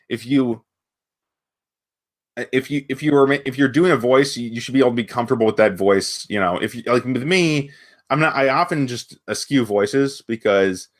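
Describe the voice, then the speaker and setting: Male podcast co-host, everyday mid-range voice, informal, rambling, restarts his thoughts. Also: mid-range voice. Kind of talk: casual chat about gaming.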